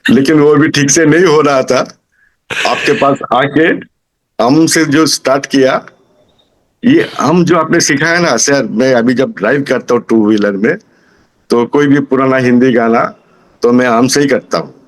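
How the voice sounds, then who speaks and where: A man's voice: medium pitch, measured pace. A man of about sixty from Kolkata, giving a casual testimonial as a student. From Kolkata, India. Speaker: singing student and businessman.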